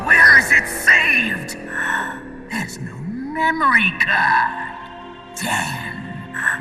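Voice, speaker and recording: Creepy ghost psychic game villain, slow n gravelly, super showy and boastful, loud music behind. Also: male voice, high-pitched, heavily acted. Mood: baffled, irritated. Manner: grandiose, theatrical, drawn-out delivery. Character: theatrical, taunting ghostly psychic villain, boastful mind reader. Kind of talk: video game villain acting.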